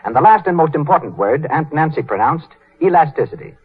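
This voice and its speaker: A man's voice: a little high, sing-song, fairly quick, husky. A man with an American accent announcing in 1930s radio style. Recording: tinny, band-limited 1930s radio broadcast.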